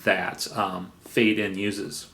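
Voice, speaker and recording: A man's voice: low-pitched, level tone, slow, pushed and bright. A man presenting a semi-scripted review, speaking in an emphatic, enthusiastic way with conversational asides. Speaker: software reviewer.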